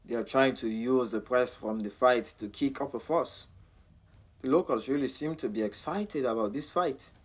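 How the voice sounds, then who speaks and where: An actor playing a calm, money-minded boxing manager who talks smoothly and reassuringly in an audio drama. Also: male voice, medium pitch, moderate pace, close to an everyday voice. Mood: breezy reassurance. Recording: clear background noise.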